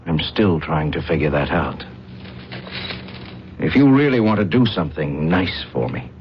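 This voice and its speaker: A man's voice: rumbling low, expressive, a little slow, exaggerated voice. A man playing a defiant, sardonic, world-weary condemned prisoner with formal, insolent speech in a radio drama. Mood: wry, wary. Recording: dull, noisy old radio broadcast.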